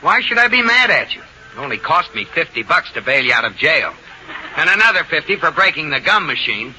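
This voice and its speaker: An actor playing a grumbling but fond husband in an old-time radio comedy, scolding and exasperated. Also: male voice, fairly high pitch, very animated, fairly quick, bright, forceful tone, heavily acted. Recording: noisy vintage radio recording.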